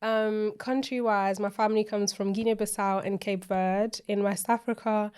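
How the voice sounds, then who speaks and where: A woman from Portugal, a guest co-founder, speaking in a thoughtful, measured way. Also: medium pitch, fairly even intonation, darkish tone, smooth. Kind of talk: podcast interview.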